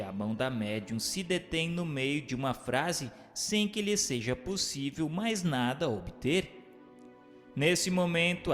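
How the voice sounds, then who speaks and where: A man's voice: fairly high pitch, fairly bright tone. Reverberant man reading formal narration. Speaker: narrator and questioner. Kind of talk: scripted narration, reading aloud. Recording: long hall reverb, music underneath. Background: music.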